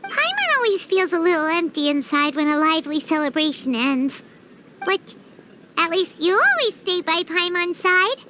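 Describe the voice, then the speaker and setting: A woman's voice: very high voice, sing-song, normal speed, background noise. A woman voicing a small, cheerful fairy girl companion who chirps away in an anime game dub, calling herself by name. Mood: wistful, affectionate. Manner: refers to self by name, chirpy.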